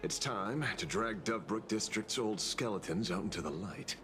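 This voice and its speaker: A man's voice: lowish voice, expressive, normal speed, music in the background. An actor playing a self-assured male private detective in a video game, theatrical, musing and playful. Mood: playful, confident. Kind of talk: dramatic video game dialogue.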